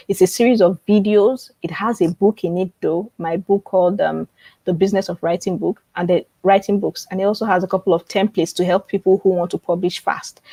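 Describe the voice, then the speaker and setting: A woman's voice: mid-range voice, dark tone, no echo. A woman teaching and promoting informally on a live webinar, often repeating phrases and checking in with her viewers. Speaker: online publishing coach hosting a live webinar.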